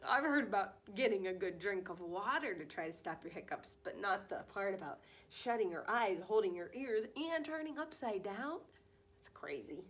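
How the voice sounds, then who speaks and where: A woman kindergarten teacher talking to young children during a read-aloud, expressive and full of direct questions. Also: medium pitch, moderate pace.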